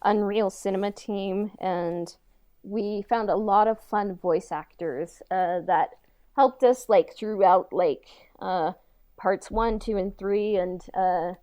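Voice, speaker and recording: A woman's voice: medium pitch, slow, dark tone. A woman co-hosting a podcast in casual, hesitant talk peppered with "like" and "you know". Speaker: podcast co-host. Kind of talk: casual chat.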